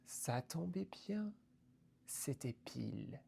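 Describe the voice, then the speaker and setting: A woman recites verse with a creaky, mellow, low voice. Her delivery is theatrical and deliberate, broken into short phrases that can sink toward a whisper. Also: big pitch swings. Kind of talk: slow theatrical poetry recitation.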